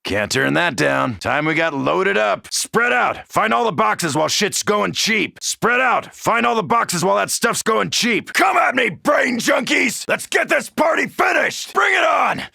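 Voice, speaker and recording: A tough American marine from a video game, with a heavily gravelled, forceful voice. He is brash and wisecracking, swinging widely in pitch as he delivers cocky, foul-mouthed battle lines. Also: big pitch swings, measured pace, hardly any pauses, no echo, clean studio sound, heavily acted. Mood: wry, teasing, then upbeat, cocky, then fierce, battle-crazed. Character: tough cocky American soldier, gung-ho zombie-slaying marine. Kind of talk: video game character barks.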